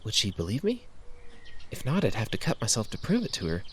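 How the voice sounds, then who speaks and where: A man voicing a gentle, hesitant young woman who muses and trails off softly, as a storybook reader. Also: on the low side, huge pitch swings, a little fast, slight background noise, voice pushed up. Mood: wondering. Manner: soft, hesitant, musing.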